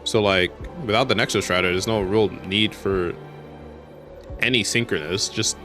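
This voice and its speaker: A man's voice: on the low side, fairly even intonation, normal speed, pushed and bright, faint music behind. A man giving casual, slangy commentary as he plays through a video game. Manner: slangy, colloquial. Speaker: streamer playing a video game.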